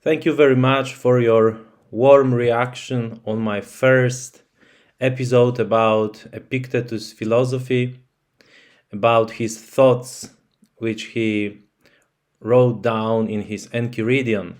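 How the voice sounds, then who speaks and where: Man, Polish native speaker, speaking English, very slow pace, thoughtful, hesitant, casual talk to camera. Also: medium pitch, little pitch movement. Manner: hesitant, thoughtful, frequent fillers. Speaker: channel host.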